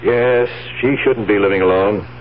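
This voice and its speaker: An actor playing a scheming, placating, uneasy nephew in a 1970s radio drama. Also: male voice, lowish voice, normal speed, lightly acted. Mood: smooth, concerned. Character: scheming nephew humoring his confused old aunt. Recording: tinny narrow-band 1970s radio recording, hiss.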